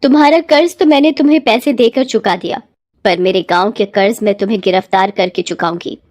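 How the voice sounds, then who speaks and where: A woman's voice: mid-range voice, brisk, darkish tone, close to an everyday voice. A woman voicing a determined, defiant young village daughter in a cartoon moral story, firm and earnest. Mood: stern.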